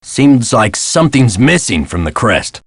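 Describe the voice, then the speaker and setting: A man playing a gruff, world-weary middle-aged American caretaker in a video game, guarded and folksy in a clipped way. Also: mid-range voice, sing-song, a little slow, no room sound, studio quality, heavily acted. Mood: helpful, gruff. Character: gruff world-weary male castle caretaker, guarded about his past.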